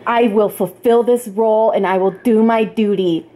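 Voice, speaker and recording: A woman's voice: mid-range voice, steady tone, moderate pace, mellow, slight background noise, natural voice. A woman voicing a stiff, dutiful teenage boy with a formal, declamatory manner in an amateur puppet show. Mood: formal, earnest.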